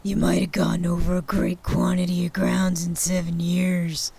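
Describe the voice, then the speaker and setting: A woman voicing a frightened old miser man, speaking low in a hushed, half-whispered, pleading way in a storybook reading. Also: level tone, a little slow, vocal fry, slightly rough, heavily acted.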